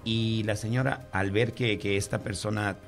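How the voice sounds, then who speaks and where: A Mexican man who runs a gym, talking casually on a talk show and giving steady advice with "este" fillers. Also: lowish voice, vocal fry, faint music behind. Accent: Mexican Spanish. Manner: advisory, measured, uses fillers like "este". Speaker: gym trainer, co-host.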